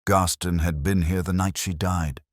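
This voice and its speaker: A man reading a first-person detective story in measured, deliberate, clipped phrases. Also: sits low, fairly even intonation, dry recording, clean studio sound. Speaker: narrator.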